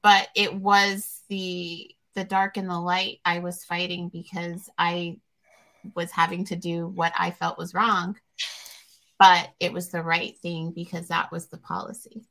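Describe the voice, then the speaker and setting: A woman hosting a podcast interview, talking in an earnest, reflective way, pausing with frequent fillers. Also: on the low side, little pitch movement, vocal fry, pushed and bright, low-quality recording. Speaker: podcast host.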